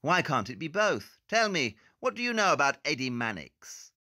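A man playing a brisk, condescending, sardonic detective in an audio play, with clipped, haughty, theatrical delivery. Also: a little high, sing-song, pushed and bright, no room sound, studio quality.

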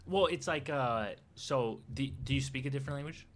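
A young man hosting a video, chatting with strangers online in a loud, teasing, hyped way from a small room with a headset. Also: medium pitch, a little fast. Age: young adult. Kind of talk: casual online chat.

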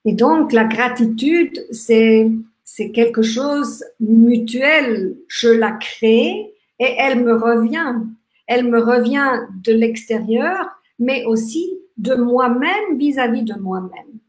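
Austrian woman in her fifties, German native, hosting a slow, gentle webcam talk, somewhat high voice, echoey room. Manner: gentle, reflective, halting with many restarts and fillers; talks with lively hand gestures. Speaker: workshop host. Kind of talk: live online talk on gratitude. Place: small room indoors.

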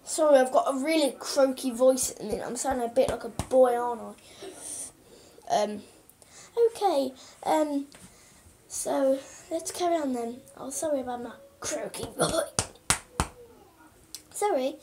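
A young boy playing a female TV newsreader, croaky and hesitant, full of ums and restarts, recorded on a close webcam in a small room. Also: very high voice, measured pace, darkish tone, slight background noise. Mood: earnest. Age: child. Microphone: webcam at close range.

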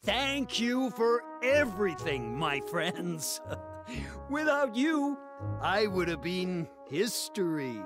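Flamboyant, swooping cartoon monster scholar. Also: male voice, high voice, sing-song, slow, exaggerated voice. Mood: jolly, theatrical. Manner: theatrical, grandiloquent. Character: flamboyant, bookish pink monster, jolly and grandiloquent. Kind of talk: animated game cutscene acting. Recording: loud music underneath. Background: music.

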